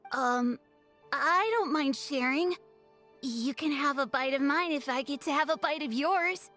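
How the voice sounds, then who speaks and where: A spirited young child in a game. The voice is high and sharply bright, earnest and childlike, over music. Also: female voice, a little slow. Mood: shy, generous. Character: young child, shy but spirited sharer. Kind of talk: scripted video game dialogue.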